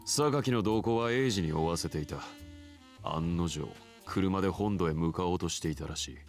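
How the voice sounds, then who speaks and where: Actor as a very deep-voiced, jaded gangster man. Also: fast, faint music behind, natural voice. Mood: cool, offhand. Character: jaded, cocky gangster man, coolly recounting schemes. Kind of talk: visual novel voice acting.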